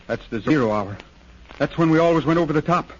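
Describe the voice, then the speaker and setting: A man's voice: fairly high pitch, lively intonation, fast, a little hiss or hum, lightly acted. A man playing a troubled young war veteran in a 1930s radio drama, speaking in an earnest, hesitant, confessional way. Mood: earnest confessing. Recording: muffled 1930s radio recording.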